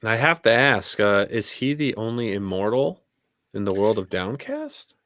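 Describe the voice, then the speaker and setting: Male talk host, informal chat, slightly deep voice, no audible room. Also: very animated, moderate pace, a bit bright, no echo, clean studio sound. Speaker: show host. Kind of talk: casual interview chat.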